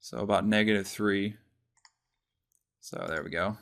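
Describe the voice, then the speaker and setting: A man presenting a casual software tutorial, explaining step by step in an informal way. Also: lowish voice, fairly even intonation, measured pace, clean tone.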